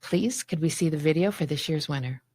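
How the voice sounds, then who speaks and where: A woman's voice: low voice, fairly quick, darkish tone. A woman hosting an awards event, announcing winners plainly and stumbling slightly now and then. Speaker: emcee. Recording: dry close sound.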